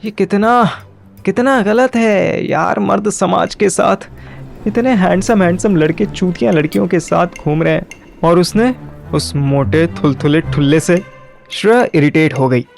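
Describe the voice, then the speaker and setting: A man's voice: high voice, unhurried, creaky, soft background music. A man narrating a first-person story and acting its dialogue in colloquial Hindi mixed with English. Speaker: narrator and lead character of an audio story.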